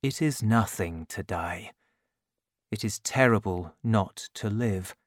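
Guy doing a frail old man dying, real quiet and low, slow, almost whispering, dry close mic. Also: lively intonation, dry recording. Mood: tender. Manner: quiet, soft, fading to a whisper.

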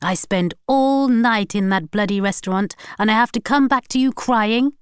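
A woman reading a story aloud, voicing an angry, guilty middle-aged husband who shouts at his wife. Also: medium pitch, normal speed, smooth, dry recording, clean studio sound, voice pushed up, close to an everyday voice.

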